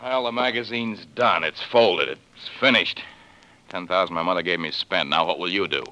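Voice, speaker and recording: An actor playing an awkward, protective, well-meaning gentleman in a hesitant, earnest 1940s radio drama style. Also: low voice, moderate pace, a little hiss or hum. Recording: muffled old 1940s radio broadcast.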